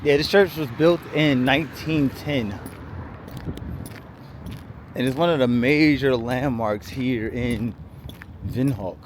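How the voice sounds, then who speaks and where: A man filming a casual travel vlog while walking outdoors through quiet city streets, rambling chattily with lots of "like" and "um". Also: mid-range voice, takes it slowly, long pauses. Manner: rambling, chatty, many "like" and "um" fillers. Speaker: travel vlogger. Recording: outdoor street noise and traffic.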